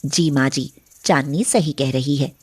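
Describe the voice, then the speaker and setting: A woman playing a caring, sensible young eldest daughter-in-law in an animated moral story, polite and respectful. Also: rumbling low, a little slow, husky, exaggerated voice. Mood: respectful, hopeful.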